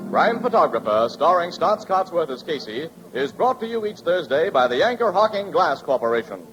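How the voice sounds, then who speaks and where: A man's voice: a little high, sing-song, moderate pace, music in the background. A man announcing in scripted 1940s radio advertising style, with an emphatic sales delivery. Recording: dull old radio recording.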